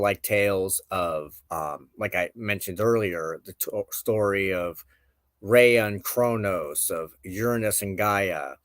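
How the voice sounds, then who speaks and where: Low, slow-spoken man, thinking aloud. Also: a bit bright, no room sound. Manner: halting, searching for words, contemplative. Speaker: host of a spiritual show. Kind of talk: informal reflective talk to camera.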